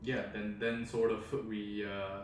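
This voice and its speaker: A man's voice: fairly low pitch, level tone, a little slow. Young Indian-raised man chatting in an echoey room. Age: 20s. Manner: chatty, self-interrupting, joking. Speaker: podcast co-host. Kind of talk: casual chat. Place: friend's home. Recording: echoey room, distant mic, faint noise.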